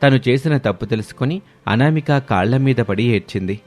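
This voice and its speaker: A man's voice: mid-range voice, moderate pace, a little mellow. A man narrating a scripted moral story in the manner of a storyteller.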